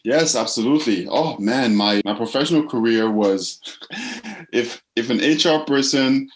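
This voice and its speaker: A man from the Congo, a purpose coach, talking as a guest in a relaxed interview, thoughtful and often repeating words as he searches for phrasing. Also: medium pitch, measured pace, slight background noise. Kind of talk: casual interview talk.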